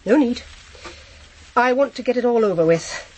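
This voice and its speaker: Actress as a flustered, higher-voiced middle-aged widow. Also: female voice, lively intonation, darkish tone, a little hiss or hum, lightly acted, poor audio. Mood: dazed, rambling. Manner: halting, flustered, repeats herself. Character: shocked, hesitant, proper middle-aged widowed housewife. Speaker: actor in a crime drama. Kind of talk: naturalistic radio drama acting.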